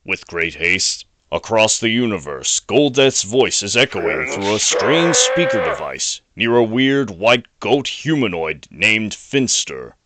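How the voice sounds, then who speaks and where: A man's voice: fairly low pitch, sing-song, takes it slowly, hardly any pauses, bright, forceful tone. A man reading scripted fantasy audio drama narration as the storyteller.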